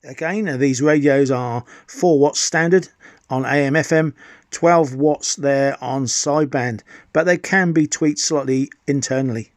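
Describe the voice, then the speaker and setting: A man's voice: mid-range voice, unhurried, dry recording, studio quality. A middle-aged man presenting an enthusiast equipment review in an unscripted, somewhat hesitant explaining manner. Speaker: video presenter.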